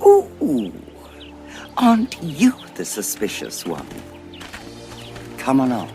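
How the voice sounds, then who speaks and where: An actress with a British accent playing a scheming, coolly amused war commander in an audio drama, arch and condescending. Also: female voice, a bass voice, huge pitch swings, slow pace, over music, exaggerated voice. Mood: teasing. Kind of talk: full-cast audio drama acting.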